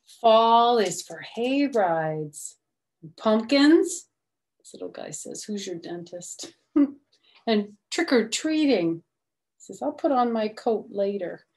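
Slow-paced middle-aged woman reading to children. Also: on the high side. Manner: expressive, slow, simple explaining for young children. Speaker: storytime reader. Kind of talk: children's picture book read-aloud with chatty asides. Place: small room at home, indoors.

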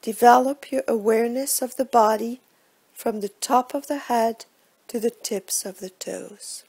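A woman leads a guided relaxation practice as a meditation teacher. Her voice sits a little high and is breathy and soft. She speaks very slowly and in measured phrases, often letting the ends trail into a hush. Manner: slow, measured, hushed delivery with soft breathy phrase endings. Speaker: yoga nidra meditation guide.

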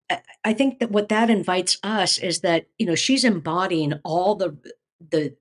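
A middle-aged woman, a professor co-hosting, talking reflectively over a video call from her home and often restarting her phrases. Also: low voice. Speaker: seminary professor and podcast co-host. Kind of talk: reflective conversational discussion. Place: home room, indoors. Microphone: webcam on video call.

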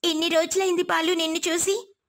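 A woman voicing a gentle, sorrowful young orphan girl in an earnest, pleading way for a story. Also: very high voice, level tone, a little fast, pitched above the performer's own voice. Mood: wistful.

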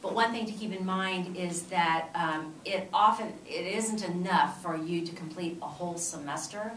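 A middle-aged woman with a low, steady voice explains financial aid at a lecture-room podium. She talks in a measured way, pausing on fillers. The sound is roomy and distant, taken from a camera at the back with faint room noise. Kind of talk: informational presentation. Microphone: far camera.

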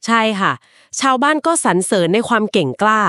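Woman cohost telling an old legend like a chat, polite little pauses, dry close mic. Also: medium pitch, big pitch swings, fairly bright tone, dry recording. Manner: explains at length with polite particles and filler pauses. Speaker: podcast co-host. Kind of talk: conversational storytelling of a folk legend.

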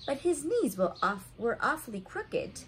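A woman's voice: high-pitched, very animated, unhurried, slight background noise, rough recording. A woman in her thirties reading stories aloud to young children, adding chatty asides and simple questions for her little listeners. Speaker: story time reader for children. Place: home living room, indoors.

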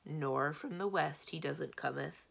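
A woman voicing a glum, loyal male monster with several heads, speaking in slow, mournful, ungrammatical phrases. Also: low-pitched, vocal fry, fairly bright tone, heavily acted. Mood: patient, weary. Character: three-headed male monster, glum, plodding, uneducated, loyal.

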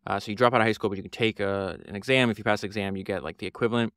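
A man's voice: on the low side, fairly even intonation, very fast, fairly bright tone, clear, resonant tone, dry recording, clean studio sound. A man, hosting a solo podcast and talking through a book in an earnest, rambling way with many fillers and restarts. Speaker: podcast host.

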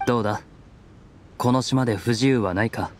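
A man's voice: medium pitch, moderate pace, a little hiss or hum, lightly acted. An actor playing a stoic, guarded young nobleman in a video game, serious and restrained. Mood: calm, protective. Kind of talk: Japanese video game voice acting. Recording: studio voice over game music.

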